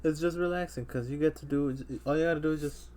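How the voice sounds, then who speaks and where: A man's voice: on the high side, steady tone, quick pace, warm tone. A man in his thirties hosting a casual podcast chat, rambling with lots of fillers and restarts. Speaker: podcast host.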